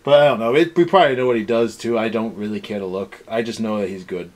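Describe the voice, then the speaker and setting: A man's voice: on the low side, fast talker. Rambling, sarcastic man co-hosting a review. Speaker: co-host of an anime review show. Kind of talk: casual ranting review chat.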